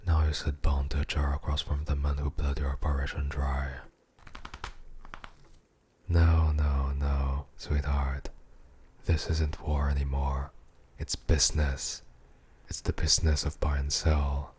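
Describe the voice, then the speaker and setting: A man playing a possessive, menacing, seductive mafia boss in an ASMR roleplay monologue, with a husky, breathy voice and a condescending, intimate murmur. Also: very deep voice, completely flat delivery, a little slow, a little mellow, exaggerated voice. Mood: admiring, menacing.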